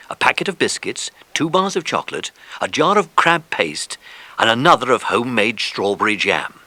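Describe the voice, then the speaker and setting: A man narrates a children's story with expressive, scripted delivery. His mid-pitched voice is bright and animated, recorded very dry. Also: no room sound. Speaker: audiobook narrator.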